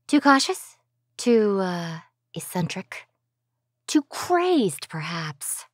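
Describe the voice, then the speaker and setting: A woman's voice: mid-range voice, big pitch swings, extremely slow, slightly rough, no echo, studio quality, full character voice. A woman voicing a scheming female villain who commands the others, mocking and theatrical. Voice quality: mocking, theatrical, swings from whisper to sharp. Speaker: voice actor. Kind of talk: fan audio drama acting.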